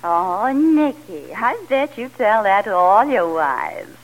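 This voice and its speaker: An actress playing a teasing, sardonic, quick-witted wife in old radio comedy style. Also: female voice, mid-range voice, big pitch swings, heavily acted, low-quality recording. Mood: sweetly teasing. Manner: teasing, wry. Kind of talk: 1940s radio comedy acting.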